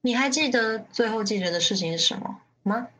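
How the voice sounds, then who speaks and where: A woman acting a composed faction leader and narrator in a radio drama, measured and detached in delivery. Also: a little high, lively intonation, a bit bright, low-quality recording. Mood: calm, explanatory.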